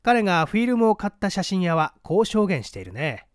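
A man's voice: high-pitched, sing-song, a little fast, clean tone, dry recording, studio quality. A man voicing a shrewd, condescending scholar-detective in a mystery reading, lecturing methodically and a little pompously. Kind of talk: dramatic storybook reading of a mystery.

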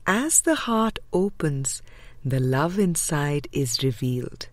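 A woman reading a spiritual audiobook with measured, deliberate phrasing. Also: low voice, lively intonation, no room sound. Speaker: audiobook reader.

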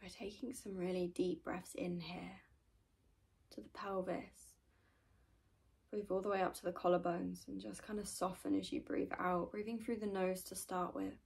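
A woman leading a guided meditation in a gentle, soothing manner, often trailing off into a breathy whisper. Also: lowish voice, little pitch movement, normal speed. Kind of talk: guided meditation, soft-spoken and unhurried.

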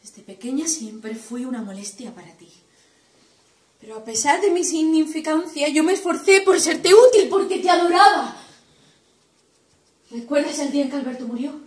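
A woman's voice: high voice, expressive, moderate pace. A young woman acting on stage as a resentful, wounded adult daughter, intense and accusatory. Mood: tense, confiding. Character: wounded, resentful adult daughter pouring out bitterness to her mother. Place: small dim theatre set indoors. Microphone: far camera. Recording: roomy echo, distant camera microphone.

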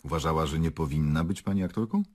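An actor playing an unflappable prosecutor who questions formally and insistently in a radio drama. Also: male voice, very deep voice, quick pace, darkish tone. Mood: probing, measured. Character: probing, unflappable male prosecutor leading a murder investigation. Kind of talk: Polish radio drama acting.

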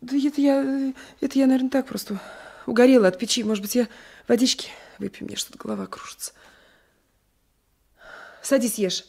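A troubled young village wife in a radio drama. Her voice is husky and creaky, with breathy, emotional delivery. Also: a little high, fairly even intonation, brisk, pauses often, darkish tone. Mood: flustered. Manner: breathy, emotional, drops into whispered asides. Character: troubled young peasant wife hiding an old love. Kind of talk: Soviet radio play acting. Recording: 1980s radio drama recording.

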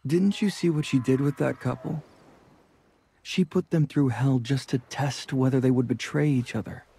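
Casting: a pragmatic, wary game adventurer, dark husky tone with heavy gravel. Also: male voice, medium pitch, moderate pace. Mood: firm. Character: blunt, pragmatic, wary male adventurer companion. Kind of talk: video game dialogue acting.

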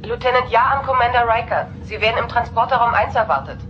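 A woman playing a brisk, alert starship security lieutenant in a German radio play. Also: a little high, lo-fi sound, a little hiss or hum, natural voice. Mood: brisk, official.

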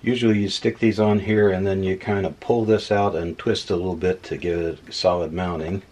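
A man casually demonstrating old equipment at a workbench, unhurried and thinking aloud with many fillers. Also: low-pitched, fairly even intonation, a little mellow. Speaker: electronics hobbyist host. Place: workbench room indoors.

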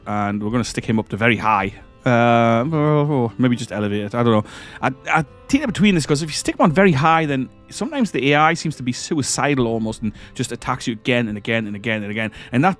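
Man, croaky with a cold, gaming commentator, casual and stumbling, faint background music. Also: medium pitch. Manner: rambling, hesitant, self-correcting. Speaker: gaming channel host. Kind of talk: casual let's-play commentary.

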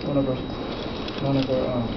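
Male storybook reader, mid-pitched, conversational with casual asides to young listeners; distant, roomy classroom sound. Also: level tone, muffled recording. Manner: conversational, pausing to explain pictures and question the listeners. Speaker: author reading his picture book aloud to children. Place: school classroom, indoors. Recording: echoey, noisy classroom, far from mic. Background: clear room noise with children present.

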